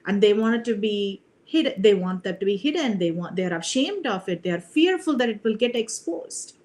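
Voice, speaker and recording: A woman's voice: mid-range voice, lively intonation, moderate pace, a little mellow. A middle-aged woman from India, a leadership coach speaking earnestly on a video call, often adding "you know" and "right?". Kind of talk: reflective interview talk.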